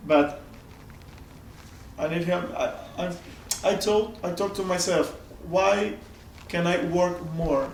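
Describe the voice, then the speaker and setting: A Peruvian-accented man whose first language is Spanish, chatting in halting English with frequent restarts and laughs. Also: sits high, far from the mic, a little hiss or hum. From Peru, Amazon region. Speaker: flatmate renting out a room. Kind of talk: casual chat in broken English. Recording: echoey room, voice off-mic, faint ambience.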